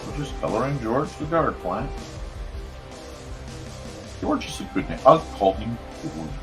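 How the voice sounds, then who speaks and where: A man with a slightly croaky, strained voice chatting casually and goofily, half to himself, as he hosts a drawing stream. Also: medium pitch, very animated, measured pace, music in the background. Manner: goofy, rambling, half talking to himself. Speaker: cartoonist hosting a live art show. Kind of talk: casual chat while drawing.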